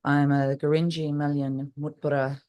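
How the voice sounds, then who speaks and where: A woman from Australia, a professor introducing herself gently over a video call. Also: low voice, little pitch movement, moderate pace. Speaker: professor, remote panelist.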